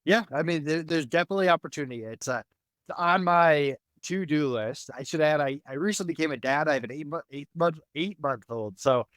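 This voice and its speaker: A man in his thirties, an entrepreneur guest on a podcast, talking candidly and quickly with lots of fillers. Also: a little high, fairly bright tone, no room sound, studio quality. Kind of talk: conversational interview.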